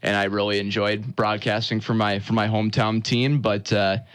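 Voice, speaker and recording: A man's voice: lowish voice, flat delivery, fairly bright tone, smooth, dry recording, clean studio sound. A young man, a sports broadcaster, answering interview questions earnestly, stopping and restarting his sentences a lot. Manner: earnest, halting, many restarts. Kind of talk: radio interview guest.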